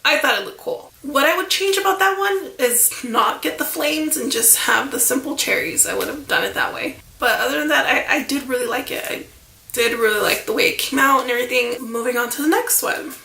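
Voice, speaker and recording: A woman in her thirties with a high, bright voice that runs gravelly at the ends of phrases, stuffy from a lingering cold. She rambles through a casual vlog story full of "like" and "you know." The room around her is echoey. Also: a little fast, a little hiss or hum. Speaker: vlogger.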